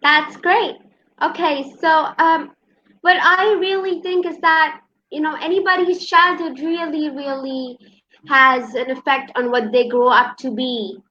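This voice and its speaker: A woman's voice: sits high, steady tone, unhurried, sharp tone, a little hiss or hum. A woman hosting an interview, asking her guest polite, formal questions. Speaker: interview host. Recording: dull lo-fi audio.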